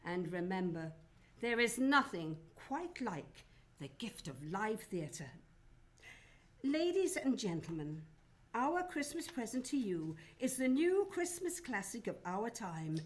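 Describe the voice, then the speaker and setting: A woman's voice: on the high side, very animated, unhurried. A woman announcing and narrating in a theatrical, formal old-time radio show style.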